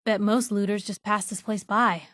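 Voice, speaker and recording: A woman's voice: mid-range voice, fairly even intonation, normal speed, fairly bright tone, dry recording, lightly acted. A woman voicing a wry, curious young female reporter and travel companion in a video game, talking in casual, dry banter. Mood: curious, musing.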